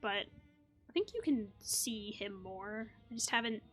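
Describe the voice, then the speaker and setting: A woman's voice: medium pitch, expressive, measured pace, a bit bright, faint music behind. A young woman giving casual, playful commentary as she plays a video game. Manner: chatty, playful. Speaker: gaming content creator.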